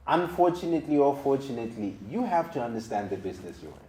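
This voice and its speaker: A woman's voice: rumbling low, big pitch swings, fast talker, dark tone. A woman interviewing casually, readily agreeing and echoing what is said. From South Africa. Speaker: radio show host, interviewer.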